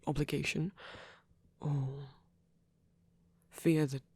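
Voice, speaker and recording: A man voicing a gentle, protective vampire priest in an ASMR roleplay, speaking softly and breathily in halting, earnest, tender confession. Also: on the high side, little pitch movement, no room sound, full character voice. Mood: careful, earnest. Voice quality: breathy, whispery, soft. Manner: hesitant, halting, earnest delivery. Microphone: close microphone, dry sound.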